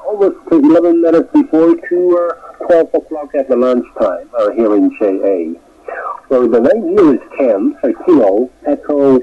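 A man with a Japanese accent making a polite, halting amateur radio contact, heard over shortwave. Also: medium pitch. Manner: halting, polite, formal courtesies. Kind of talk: radio contact chat, phonetic spelling. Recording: dull, noisy shortwave radio reception.

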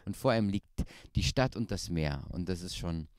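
A man's voice: sits low, normal speed, no room sound, clean studio sound. A man in his thirties, an actor answering interview questions casually with many fillers and restarts, holding a handheld mic in a small indoor room. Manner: relaxed, chatty, frequent fillers and restarts. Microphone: handheld microphone.

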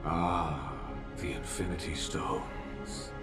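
An actor playing a sinister demon lord from another realm in a game cutscene, theatrical and silkily persuasive. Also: male voice, extremely low pitch, fairly even intonation, music in the background, exaggerated voice. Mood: solemn scheming. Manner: theatrical, slow, silky persuasion. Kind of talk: video game cutscene acting.

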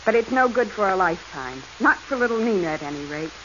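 A noisy vintage radio recording carries an actress as a breezy, plain-spoken woman adventurer. She is firm and independent, with a medium-pitched voice and dulled sound, in 1940s drama style. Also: animated, fast, lo-fi sound, close to an everyday voice. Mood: frank, calm.

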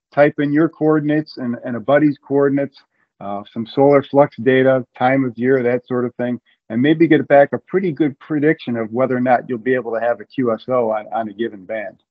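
Casting: middle-aged male interview guest, mid-pitched, informal and halting, thin phone-like call audio. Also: fairly even intonation. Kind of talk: informal explanation. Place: room at home. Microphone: video call, earbuds. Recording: narrow, phone-like video call sound.

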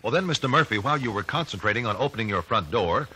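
An actor playing a methodical, confident trial lawyer in an old-time radio drama, American, questioning formally and precisely. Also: male voice, fairly low pitch, expressive, quick pace, pushed and bright, slight background noise. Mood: probing. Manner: precise, formal cross-examining. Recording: raspy vintage 1950s radio recording.

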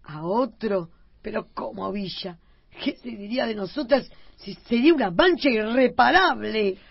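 Indignant housewife played by a woman, declamatory, fairly high and slightly husky, comic radio play. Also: animated, normal speed, no room sound, dull audio, full character voice. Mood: scandalised. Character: indignant, dramatic tenement wife.

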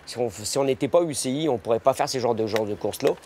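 A man in his sixties, a team manager giving a documentary interview, pausing often with fillers. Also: medium pitch, a little mellow, slight background noise. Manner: hesitant, many fillers. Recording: interview under a music bed.

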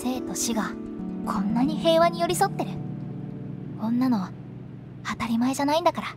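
High swoopy anime game girl, teasing n cryptic funeral director, bit of music behind. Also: expressive, brisk. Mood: knowing, cryptic. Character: playful, cryptic young female funeral parlor director. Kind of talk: Japanese anime game voice acting. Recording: studio voice over background music.

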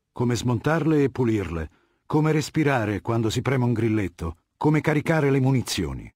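An actor playing a ruthless, cultured warlord dictator in a video game, with a husky voice and a commanding, condescending, articulate delivery. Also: male voice, mid-range voice, a little mellow, dry recording, studio quality, natural voice. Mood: disdainful storytelling.

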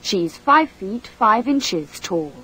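A woman reading a scripted language course drill, slowly and with careful enunciation. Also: mid-range voice, very animated, bright, forceful tone, slightly rough, poor audio. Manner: slow, deliberate, carefully enunciated.

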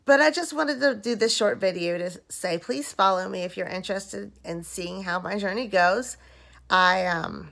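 Hoarse, exhausted woman vlogging from bed. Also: mid-range voice, fairly bright tone. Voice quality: dry-mouthed, rough voice. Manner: slow, drowsy, rambling. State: hoarse and very tired after surgery. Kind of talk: casual recovery video diary. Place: lying in bed at home, indoors. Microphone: phone held at arm's length.

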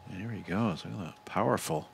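A man doing live bowling commentary, giving measured analysis with excited calls on shots. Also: deep voice, vocal fry, slight background noise. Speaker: sports commentator.

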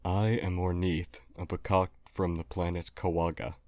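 A man playing a polite, earnest, apologetic humanoid alien in an audio roleplay, speaking formally and haltingly. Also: low voice, little pitch movement, a little mellow, no room sound, close to an everyday voice. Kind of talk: audio roleplay acting addressed to listener.

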